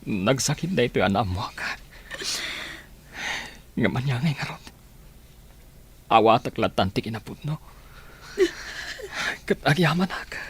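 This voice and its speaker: A man with an Ilocano accent playing a lovelorn, heartbroken villager in a radio drama, husky, plaintive and earnest. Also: mid-range voice.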